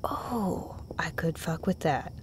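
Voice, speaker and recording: A woman playing an affectionate, playful girlfriend in an intimate audio roleplay, speaking casually and tenderly, often breathy and close to a whisper. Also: low-pitched, lively intonation, takes it slowly. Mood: playful, soft. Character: affectionate, playful, chatty girlfriend comforting her partner.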